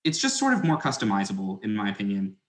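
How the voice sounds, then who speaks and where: A man's voice: lowish voice, huge pitch swings, fast talker. Man explaining casually in echoey room.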